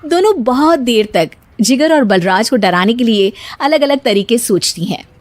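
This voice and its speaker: Lively woman cartoon narrator. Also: on the high side, normal speed, dry recording. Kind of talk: scripted storytelling narration.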